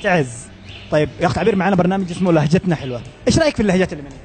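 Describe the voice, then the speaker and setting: A man with a Yemeni accent interviewing passersby in the street with brisk, upbeat questions. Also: sits high, expressive, darkish tone, soft background music. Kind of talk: street vox pop interviews.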